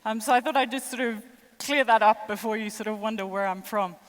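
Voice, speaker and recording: A woman's voice: fairly high pitch, a little fast. Woman keynote speaker, thirties, French-tinged international accent, conversational and self-mocking, long hall reverb. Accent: mixed international, French and American traces. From born in the United States, raised in six countries. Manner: chatty and self-deprecating, with light laughs and fillers. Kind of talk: conference keynote speech. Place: large conference hall.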